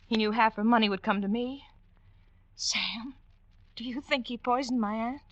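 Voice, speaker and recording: An actress playing an anxious young femme fatale in a 1940s radio drama, breathless, pleading and melodramatic. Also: female voice, on the high side, moderate pace, dry recording, muffled recording, lightly acted. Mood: nervous confiding.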